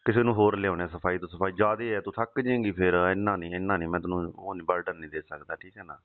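A man's voice: low-pitched, steady tone, racing. A man chatting casually and intimately on the phone. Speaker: soldier on leave, phone caller. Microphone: phone call. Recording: muffled lo-fi phone call recording.

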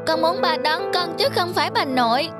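A woman's voice: extremely high pitch, normal speed. A woman voicing a spoiled little schoolgirl in a children's cartoon, with a blunt, childish way of talking. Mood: sulky, petulant. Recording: loud music behind the voice. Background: loud children's music.

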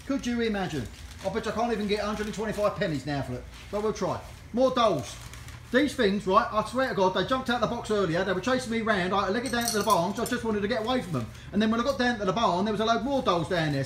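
A man's voice: sits high, a bit bright, a little hiss or hum. A man with a Cockney accent giving a jokey, rambling walk-round of items before an auction, filmed on a handheld phone in a large indoor warehouse. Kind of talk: casual walk-through commentary. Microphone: handheld phone camera, speaker off-screen. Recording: echoey warehouse room.

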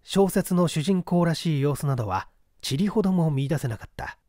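A man reading a period detective novel aloud in a measured, formal storytelling manner. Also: a little high, animated, warm tone, dry recording, clean studio sound. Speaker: audiobook reader. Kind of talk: scripted literary narration of a period detective novel.

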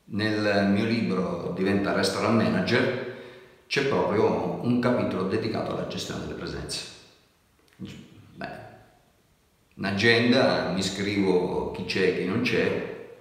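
Man, middle-aged, from Italy, low voice, chatty and wry, echoey kitchen with long reverb. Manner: chatty, wry, rhetorical asides. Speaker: chef and restaurant consultant. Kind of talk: casual talk to camera. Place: indoor kitchen.

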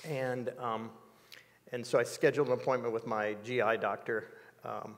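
A man in his sixties telling a personal story in an interview, measured and reflective, with many fillers, on a dark studio set. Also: mid-range voice, fairly even intonation, in a large hall. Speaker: interview guest.

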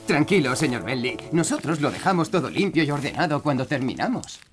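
A cheerful builder leading his crew in a children's cartoon, played by a man. He is encouraging and calm in Castilian Spanish, with lively intonation over music. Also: fairly high pitch, a little fast, lightly acted. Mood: reassuring. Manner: upbeat, encouraging, reassuring. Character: cheerful, calm adult male builder and team leader. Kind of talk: animated children's series dubbing.

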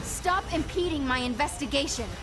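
A woman playing a stern, righteous investigator in a video game, indignant and forceful. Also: sits high, lively intonation, moderate pace, music in the background. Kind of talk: video game character acting.

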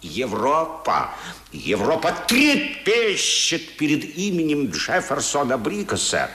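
An actor playing a pompous, boastful American colonel and newspaper editor in a Russian radio drama, declaiming grandly. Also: male voice, a little high, wildly swooping pitch, slow, bright, forceful tone, exaggerated voice. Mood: grandiose, proud. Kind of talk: Soviet 1970s radio drama acting. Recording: roomy 1970s radio play recording.

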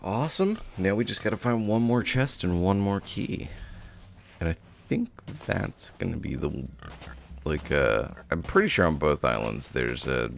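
A man with a low, gravelly voice talks through a video game in a casual, laid-back way. His pitch moves around freely as he thinks out loud, like a streamer keeping up a running commentary. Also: sing-song, unhurried, slight background noise.

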